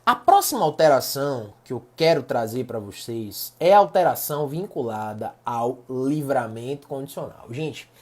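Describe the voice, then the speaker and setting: A man's voice: medium pitch, lively intonation, moderate pace, hardly any pauses, a little mellow. A man from Salvador with a Bahian accent, giving a casual online law lecture and talking to his audience as a group of friends. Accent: Bahian Portuguese. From Salvador, Bahia, Brazil. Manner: chatty, repeats points, addresses audience as "galera". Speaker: law professor.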